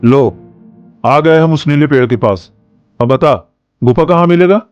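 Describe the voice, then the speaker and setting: A man's voice: a little high, unhurried, muffled recording, close to an everyday voice. A man voicing a blunt, skeptical villager. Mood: steady. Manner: blunt, teasing. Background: background music.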